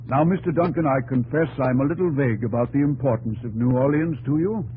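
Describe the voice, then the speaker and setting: A fatherly statesman acted by a man speaks in a medium-pitched male voice. He is genteel, thoughtful and a little hesitant, in old radio drama style. The recording is clearly noisy and vintage. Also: natural voice. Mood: polite. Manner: gentle, measured, hesitant. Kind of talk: 1950s radio drama acting.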